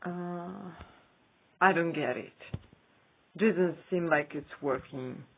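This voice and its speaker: Slow, halting woman on tinny audio. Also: lowish voice, vocal fry, breathy edge. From Ukraine. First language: not English. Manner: hesitant, halting with frequent pauses. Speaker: vlogger. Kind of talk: casual screen-recording commentary.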